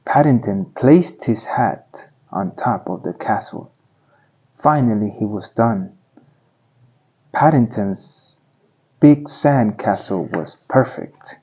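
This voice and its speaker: A man narrating a children's storybook aloud in simple, deliberate phrasing for young listeners. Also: on the low side, expressive, mellow, slightly rough, highs cut off.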